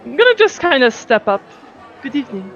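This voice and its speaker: A woman's voice: high-pitched, sing-song, brisk, fairly bright tone, soft background music, some chatter behind. A woman playing a character in a tabletop game, upbeat and friendly. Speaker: player. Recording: dull, distant mic.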